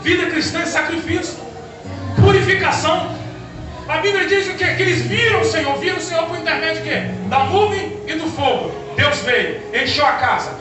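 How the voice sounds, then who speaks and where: A man preaching a sermon, impassioned, swinging between hushed passages and shouted calls while addressing the congregation as brother. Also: very high voice, normal speed. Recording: echoey hall, voice far from the mic, music behind. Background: music.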